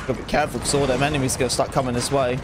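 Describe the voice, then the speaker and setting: Young male streamer, casual and chatty with a light stutter, talking over loud game audio. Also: mid-range voice, flat delivery, racing, noisy background. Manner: chatty, thanks viewers, stutters at times. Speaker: gaming livestreamer. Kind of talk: casual livestream chat while playing. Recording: game sound mixed loud behind voice. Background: game gunfire and explosions.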